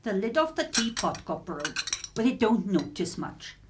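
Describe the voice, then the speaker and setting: A woman's voice: mid-range voice, very animated, a little slow, noisy background. An actress playing a nosy, chatty working-class English woman who puts on airs, in a radio drama. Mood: fussy, chatty. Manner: gossipy, colloquial, affected politeness.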